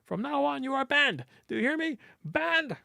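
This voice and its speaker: Visual novel curator, man performing: pompous little man, raised pitch, huffy and sputtering, quick to outrage. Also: sing-song, vocal fry, pushed and bright, no room sound, studio quality, heavily acted. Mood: furious. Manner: sputtering, indignant. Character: pompous, easily outraged stubby male art curator.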